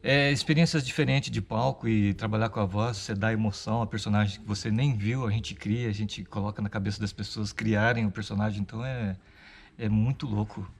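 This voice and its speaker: A man's voice: lowish voice, fairly even intonation, fairly quick, gravelly, breathy edge. A Brazilian man, an actor, talking casually and enthusiastically in an interview.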